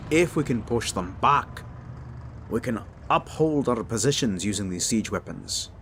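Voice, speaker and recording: A man's voice: medium pitch, fairly bright tone, slight background noise. A man playing a proud, battle-hardened old dwarf king in a fantasy audio drama, speaking in a boastful, commanding, folksy way. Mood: confident.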